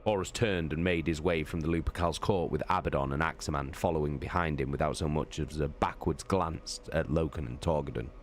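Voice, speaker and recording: A man's voice: a bass voice, brisk, few pauses. A man narrating a story expressively as the host of a dramatic reading livestream.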